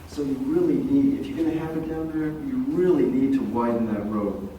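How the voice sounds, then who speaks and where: An older man who is a longtime local resident, speaking softly and haltingly at a community meeting. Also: mid-range voice, dull audio. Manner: soft-spoken, rambling with pauses. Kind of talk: public comment at a community meeting. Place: large echoey meeting room. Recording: echoey room, distant mic, faint hiss.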